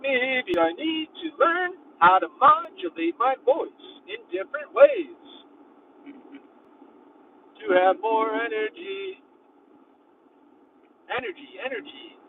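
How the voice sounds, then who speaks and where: A man's voice: very high-pitched, huge pitch swings, slow, pauses often. A young man casually vlogging while driving his van, rambling and leaning heavily on filler words. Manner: rambling, heavy use of "like". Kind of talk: casual road-trip vlogging. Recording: thin phone-like sound from a distant mic in a car. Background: faint road and engine noise.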